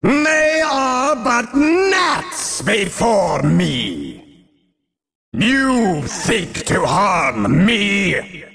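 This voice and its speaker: An arrogant demon prince delivers wrathful game villain lines in a high-pitched male voice that swings wildly. The delivery is imperious and very slow, with faint music behind it. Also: sing-song, creaky, a bit bright, slight background noise, heavily acted. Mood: wrathful, roaring. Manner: bombastic, imperious, drawn-out delivery. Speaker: fan voice actor.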